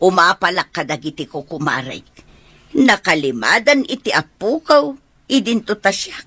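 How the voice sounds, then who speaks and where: A woman's voice: fairly low pitch, lively intonation, unhurried, fairly bright tone, husky, dry recording, heavily acted. A woman playing an older, teasing village mother and matchmaker in an audio drama, coaxing and nagging.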